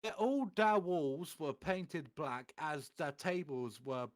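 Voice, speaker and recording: A man's voice: fairly high pitch, expressive, slow pace. A man doing a theatrical, comedic reading of amateur fan fiction, putting on voices for the quotes.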